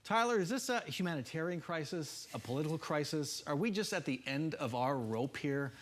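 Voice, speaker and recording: A man's voice: fairly high pitch, lively intonation, a little fast. An American man hosting a broadcast political panel, putting pointed, probing questions to guests. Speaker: TV political show host.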